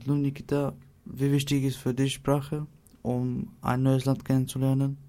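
A man asking plain, measured interview questions. His delivery is flat, and his voice has a gravelly edge. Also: medium pitch. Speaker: interviewer, fellow learner.

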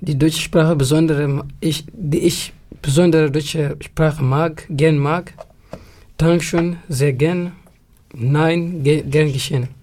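A man whose first language is Tigrinya, answering interview questions in careful, measured, non-native phrasing. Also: fairly high pitch, steady tone, darkish tone. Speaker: interview guest.

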